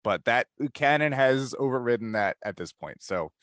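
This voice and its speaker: A man talks casually in a group discussion. His voice is of middle pitch, with an opinionated, slightly pedantic delivery. There is no room sound around it. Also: expressive, moderate pace, fairly bright tone, dry recording, studio quality. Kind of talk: casual panel chat about a TV show.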